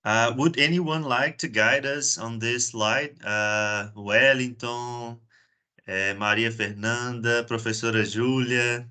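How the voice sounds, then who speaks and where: A man's voice: medium pitch, steady tone, slow pace, pushed and bright, smooth. A Brazilian man hosting a video call, chatty and often repeating words as he starts a sentence. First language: Portuguese. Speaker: meeting host. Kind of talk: casual conversation on a video call.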